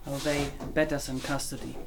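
A man's voice: medium pitch, little pitch movement, moderate pace, creaky, very noisy, natural voice. Guy playing a wary young man in a radio play, loyal follower type, a little unsure. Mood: subdued. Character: young male activist, hesitant follower, loyal but wary. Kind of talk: radio drama acting.

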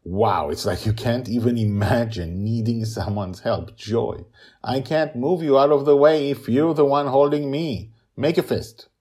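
A man's voice: medium pitch, unhurried, mellow, breathy edge, voice pushed up. A man voicing a playful, protective father in a story, patient and amused as he teaches his young daughter emphatically. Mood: amused, encouraging.

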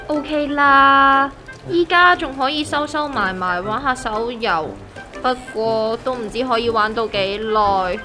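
A woman's voice: fairly high pitch, takes it slowly, pushed and bright, soft background music. A woman with a Hong Kong Cantonese accent playing a gloomy, aggrieved young worker who whines and complains in a comedic audio drama. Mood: resigned griping. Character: gloomy, aggrieved young woman worker griping about her strict grandpa.

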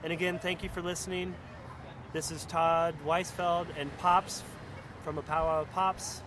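Middle-aged male podcast host, slightly high voice, gushing and repetitive in casual chat, recorded amid clear traffic noise. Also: level tone, unhurried. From northern United States, grew up partly in the South. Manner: effusive, hesitant, repeats his words. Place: outdoors at a pizza place. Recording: noisy outdoor spot with traffic.

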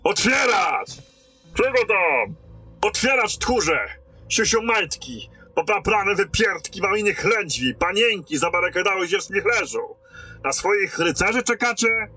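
Man acting a brusque, forceful knight lieutenant. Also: high-pitched, lively intonation, unhurried, slightly rough, soft background music, full character voice. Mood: furious, scornful. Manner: brusque, commanding. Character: gruff, commanding, foul-mouthed male lieutenant of town knights. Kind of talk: fantasy radio drama acting.

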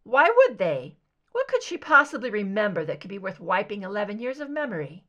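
A woman's voice: medium pitch, sing-song, fairly quick, sharp tone, lo-fi sound. A hard-edged, defiant female federal agent acted out by a woman narrator fires back with blunt sarcasm. Her voice swings animatedly as she challenges her captor, in the style of a thriller audiobook. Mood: irritated, challenging.

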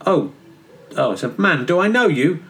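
A man's voice: fairly high pitch, sing-song, normal speed, fairly bright tone, slight background noise. A man voicing a chummy, forgetful male baptizer in a radio comedy sketch, dismissive and full of "mate". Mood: breezy.

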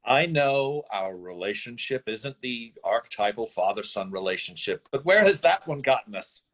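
A man's voice: mid-range voice, lively intonation, fairly bright tone. Man acting an impassioned, self-justifying drifter dad. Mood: impassioned, despairing. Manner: rambling, earnest speechifying with halting emphasis. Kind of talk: screenplay table-read acting.

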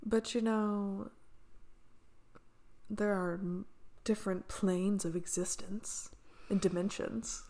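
A woman's voice: mid-range voice, steady tone, slow pace, warm tone, a little hiss or hum. A woman co-hosting a podcast, casually discussing a TV episode in a matter-of-fact way. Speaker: podcast co-host. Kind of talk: casual TV episode review chat.

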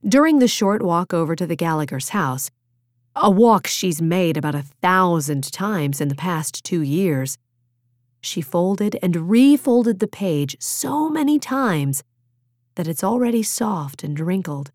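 A woman reading a novel as an audiobook narrator, measured and expressive in her storytelling. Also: on the low side, dry recording, studio quality.

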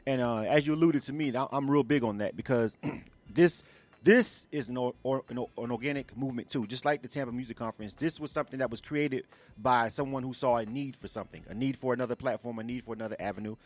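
A man's voice: medium pitch, fast talker. A man hosting a casual radio interview, talking colloquially and often checking that the listener follows.

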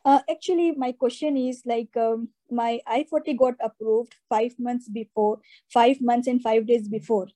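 A woman's voice: fairly high pitch, steady tone, moderate pace, dark tone, rough recording. A woman calling into an advice show, asking anxious questions with many likes and uhs. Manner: hesitant, filler-heavy, anxious questions. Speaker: caller.

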